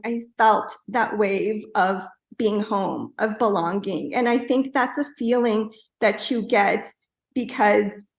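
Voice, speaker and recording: Earnest woman designer in an echoey room. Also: fairly high pitch. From Afghanistan. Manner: earnest, many fillers like "you know" and "um". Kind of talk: reflective storytelling in an interview.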